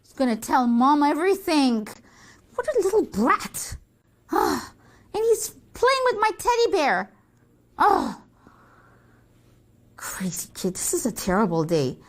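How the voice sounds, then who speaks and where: A woman voicing a dramatic, whiny big sister who complains in drawn-out exasperation, in a children's story. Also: high voice, very animated. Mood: exasperated. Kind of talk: acted children's story dialogue.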